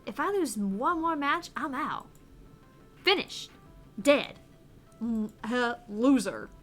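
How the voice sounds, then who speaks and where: A woman's voice: on the high side, lively intonation, slow pace, soft background music. A woman reading as Queen Bee, a cocky gamer. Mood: stressed. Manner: defensive bravado, reading aloud. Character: stressed, cocky young woman tournament gamer.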